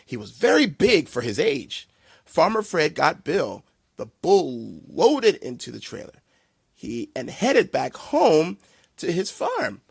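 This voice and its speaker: A man reading a children's storybook aloud in a deliberate, theatrical way for young listeners. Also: a little high, huge pitch swings, vocal fry, slightly rough, no echo, studio quality. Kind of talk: animated storybook narration.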